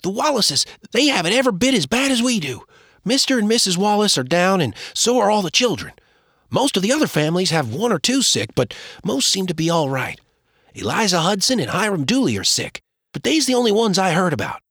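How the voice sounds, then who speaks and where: A man's voice: big pitch swings, brisk, fairly bright tone, breathy edge, no room sound, studio quality, full character voice. A man voicing a frightened young frontier boy who pleads through tears. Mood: anxious. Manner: high, pleading, breaking with tears.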